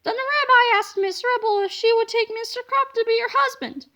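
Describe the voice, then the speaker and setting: A woman narrates a children's story with a very high, bright voice. Her delivery is expressive and emphatic, every phrase shaped for young listeners. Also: brisk. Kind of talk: expressive children's book read-aloud.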